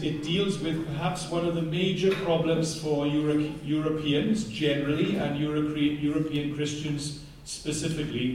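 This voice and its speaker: A man's voice: on the high side, a little mellow, a little hiss or hum. A man with a Welsh accent preaching a passionate sermon and reading scripture aloud, often picking up speed as he goes. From Wales. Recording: very echoey hall, heard from a distance.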